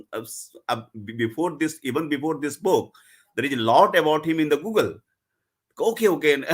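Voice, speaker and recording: A man's voice: mid-range voice, fairly bright tone. A middle-aged man, a festival panelist who tells stories at length and retells scenes with gusto. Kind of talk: animated book discussion at a festival.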